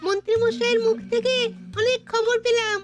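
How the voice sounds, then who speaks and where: A woman's voice: very high-pitched, little pitch movement, brisk. A woman voicing a keen little village child who brings news in a Bengali cartoon.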